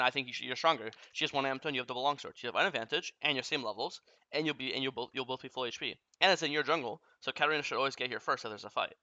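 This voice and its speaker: A young man coaching over a game replay, blunt and casually profane as he walks through each mistake. His voice is bright and forward, recorded dry with a slightly dull, muffled top end. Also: medium pitch, dry recording. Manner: blunt, profane, rapid explaining.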